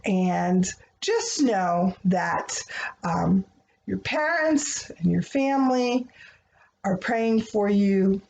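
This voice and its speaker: A woman's voice: medium pitch, animated, very slow, vocal fry, a little mellow. A woman speaking tenderly and earnestly to young children, as the host of a picture book read-aloud. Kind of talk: expressive read-aloud of a rhyming picture book, then gentle talk to children. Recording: dull, echoey room with faint noise.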